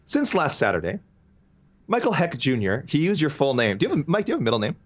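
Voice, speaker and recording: A man's voice: a little high, wildly swooping pitch, quick pace, dull audio. A man co-hosting a podcast in casual chat, chatty and playful, reading out listener messages. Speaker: podcast co-host.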